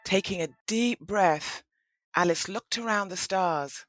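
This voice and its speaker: A woman narrating a scripted audio play in an expressive, dramatic storytelling manner. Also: medium pitch, slow, no echo, soft background music, low-quality recording.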